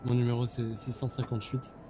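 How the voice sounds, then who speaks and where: A man's voice: medium pitch, completely flat delivery, a little fast, music in the background. A man in casual game roleplay as a journalist, compliant and hesitant. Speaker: player roleplaying a journalist held up. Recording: noisy game voice chat.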